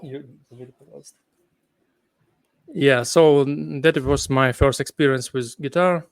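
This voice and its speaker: Ukrainian guy on a video call late at night, sleepy, slow, lots of uhs and likes, smooth voice. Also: medium pitch, no room sound. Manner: halting non-native English with many fillers. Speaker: guest guitarist. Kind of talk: casual interview chat.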